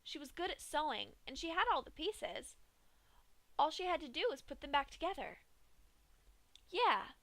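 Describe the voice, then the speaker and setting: A woman reading a horror fan story aloud in a steady, measured storytelling voice. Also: high-pitched, dry recording. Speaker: fanfic reader.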